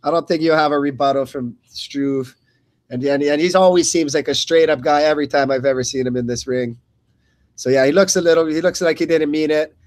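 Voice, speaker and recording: A man with a notably high voice calls a fight live as a radio play-by-play commentator. He speaks in short, halting bursts, often repeating a phrase as he follows the action. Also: a little mellow.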